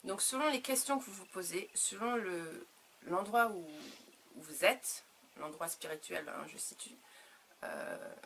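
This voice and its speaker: A woman speaking her native French as an author in an interview, reflective and halting as she searches for words. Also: medium pitch, lively intonation, gravelly, fairly bright tone, a little hiss or hum. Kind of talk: reflective interview answers about own work.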